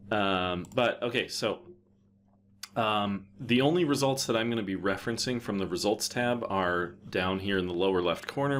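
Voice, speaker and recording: A man presenting a casual, unscripted software tutorial in an offhand way, thinking aloud as he goes. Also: on the low side, a little hiss or hum.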